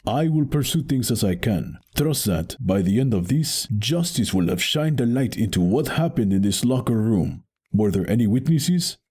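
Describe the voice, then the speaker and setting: An actor playing a noble, solemn masked wrestling champion in an audio drama, speaking with formal, grandiose earnestness. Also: male voice, medium pitch, animated, normal speed, a little mellow, slightly rough. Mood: solemn, investigative.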